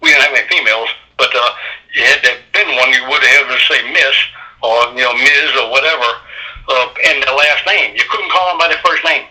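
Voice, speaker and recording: A man's voice: mid-range voice, lively intonation, brisk, pushed and bright. A man in his sixties telling stories casually as an interview guest, blunt, salty and plain-spoken, over a telephone-like recording. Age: 60s. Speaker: interview guest, retired Border Patrol agent.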